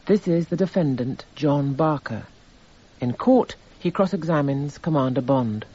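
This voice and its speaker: An actor playing a detached narrator, reading measured, descriptive scripted narration for a radio drama. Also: male voice, on the high side, animated, mellow, slightly rough. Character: detached male narrator listing evidence items. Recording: slightly dull radio recording.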